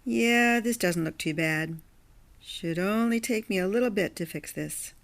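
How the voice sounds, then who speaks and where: A woman's voice: mid-range voice, a little slow, gravelly. A woman playing a blunt, independent blue-collar plumber with a casual, dry manner in an audio drama.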